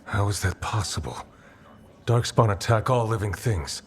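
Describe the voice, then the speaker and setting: Deep-voiced, terse fantasy resistance fighter played by a man. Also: animated, moderate pace, a little hiss or hum, natural voice. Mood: serious. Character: gruff male resistance fighter in a fantasy city. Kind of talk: dramatic video game acting. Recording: music behind the voice.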